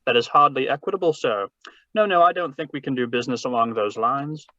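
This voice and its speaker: A man plays a fat, jovial crime boss in a 1940s radio mystery. He talks in florid, courtly phrases, shrewd and deliberate, at a middle pitch. The sound is dry and a little dull, from a video call. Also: bright, forceful tone, no echo, natural voice. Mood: shrewd, bargaining. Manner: florid, formal, deliberate, genial. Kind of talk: 1940s radio drama read-through. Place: video call read-through.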